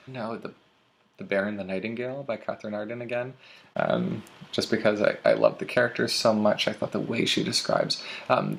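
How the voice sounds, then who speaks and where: A young man in a casual vlog, talking with frequent ums and uhs. His voice is heavily gravelly and sits fairly low. A faint hiss of room noise sits under him. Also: fairly bright tone. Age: young adult. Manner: chatty, many ums and uhs. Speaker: booktuber. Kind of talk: casual book tag vlog.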